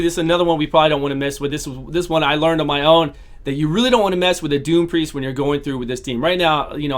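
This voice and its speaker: A man casually commentating his own gameplay, talking through each battle and repeating his phrases, his voice starting to go hoarse. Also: fairly high pitch. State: voice going hoarse. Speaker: gaming channel host.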